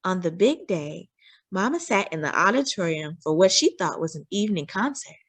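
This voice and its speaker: A woman reading a children's picture book aloud, expressively and deliberately, with dramatic delivery. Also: on the low side, very animated, fairly bright tone, slightly rough, no echo. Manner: deliberate and dramatic, acting out dialogue. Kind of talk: expressive scripted read-aloud narration.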